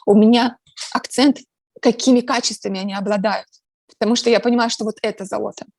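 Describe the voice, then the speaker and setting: A woman from Ukraine, a guest in a casual interview, speaking earnestly and reflectively with many pauses and filler sounds. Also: a little high, steady tone, darkish tone. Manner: earnest, talks about faith.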